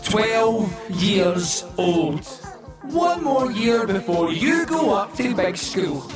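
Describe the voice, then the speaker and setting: A man's voice: high voice, big pitch swings, extremely slow, exaggerated voice. A man performing a brooding personified memory that preaches in rhythmic, incantatory rhyme in an audio play. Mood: accusing. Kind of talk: poetic spoken-word monologue in an audio play. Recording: very echoey, distant voice under loud music. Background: music score.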